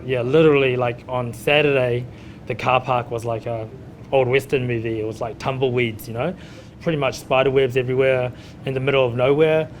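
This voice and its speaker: A man in his thirties with a New Zealand accent and a mid-pitched voice talks informally, as in an interview. He peppers his conversational account with "you know". There is some rumbling noise around him. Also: steady tone, fairly quick. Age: 30s. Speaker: chef and restaurant owner being interviewed.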